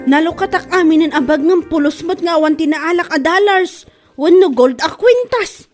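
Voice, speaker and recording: A woman playing a quarrelsome, gullible, indignant woman in a comedy audio drama, with an Ilocano accent and drawn-out exasperated delivery. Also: extremely high pitch, soft background music. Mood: indignant, scolding. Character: quarrelsome, gullible woman accusing a bag seller of lying. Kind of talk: Ilocano comedy radio-style drama acting.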